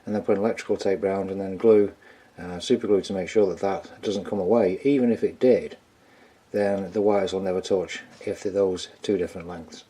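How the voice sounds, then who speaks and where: Male hobbyist repairer for a vlog: lowish pitch, dark mellow tone, informal, tentative think-aloud commentary. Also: fairly even intonation, fairly quick, husky. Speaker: hobbyist repairer presenting own video. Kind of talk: casual hands-on repair commentary.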